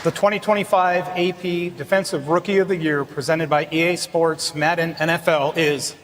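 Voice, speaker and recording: A man presenting an award to his son, reading a proud, measured, scripted tribute from a played clip. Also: on the high side, steady tone, fairly bright tone, some chatter behind, a little hiss or hum. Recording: played clip with hall reverb and faint background.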